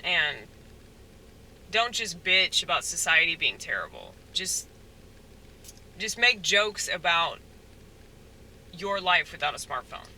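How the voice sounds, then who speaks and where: Soft spoken guy rambling on, lots of um and like, really bright tone. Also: on the high side, sing-song, a little hiss or hum, low-quality recording. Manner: halting, soft-spoken, full of fillers. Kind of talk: rambling casual monologue.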